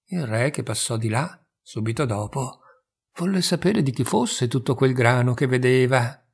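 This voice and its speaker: Expressive male fairy-tale reader. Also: mid-range voice, normal speed, slightly rough. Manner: expressive, animated narration. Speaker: storyteller.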